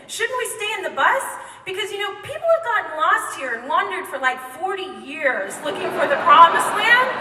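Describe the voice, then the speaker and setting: A woman telling a comic true story live on stage, wry and quick to laugh, recorded in a hall by a microphone set back from her. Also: extremely high pitch, background noise. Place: theater stage before an audience. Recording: echoey hall, mic from far off.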